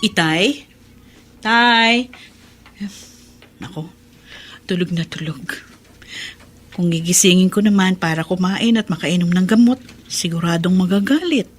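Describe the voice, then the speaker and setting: A woman's voice: lowish voice, unhurried, long pauses. An actress playing a weary, hurt, self-sacrificing mother and daughter in a melodramatic radio drama. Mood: weary, concerned.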